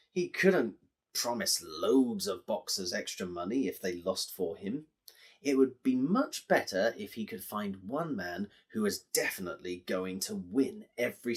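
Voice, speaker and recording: A man with an English accent telling a story to children in an expressive, explanatory way, addressing listeners directly. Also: mid-range voice, slightly rough.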